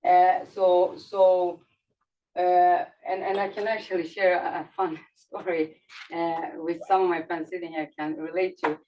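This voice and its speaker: A woman speaking on a panel in a halting, self-correcting way, often laughing. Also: lowish voice, flat delivery, warm tone. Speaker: roundtable panelist. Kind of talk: conversational panel remarks. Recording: distant, dull mic with faint noise.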